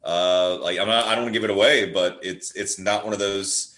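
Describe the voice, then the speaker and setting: An echoey room surrounds this low male voice. He talks in a casual, enthusiastic way, like a podcast guest, spinning out rambling stories and doubling back on his words. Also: steady tone, fairly quick, a bit bright. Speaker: podcast guest, horror playwright. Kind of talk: casual enthusiastic film chat. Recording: roomy, echoing sound.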